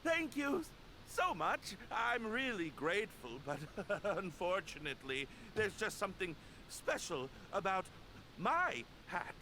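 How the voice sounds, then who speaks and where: An actor playing a flustered, anxious young man in a video game, speaking haltingly and apologetically. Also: high voice, very animated, sharp tone, slight background noise, exaggerated voice. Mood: apologetic, evasive. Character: flustered, anxious, grateful young man who lost his hat.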